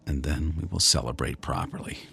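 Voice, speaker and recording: A man's voice: a bass voice, fairly quick, vocal fry, a bit bright, husky, full character voice. A man acting a wry, blundering police officer husband in a radio drama, teasing and a little hesitant. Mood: sheepish, placating.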